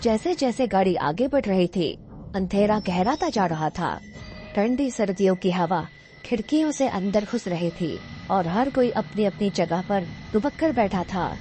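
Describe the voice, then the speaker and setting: A woman's voice: medium pitch, lively intonation, a little slow, warm tone, faint music behind. A woman reading scripted narration for a horror cartoon story.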